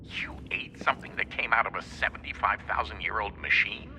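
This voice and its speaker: A man's voice: on the low side, brisk, sharp tone, a little hiss or hum, low-quality recording. A man playing a blunt, incredulous modern man in video game dialogue.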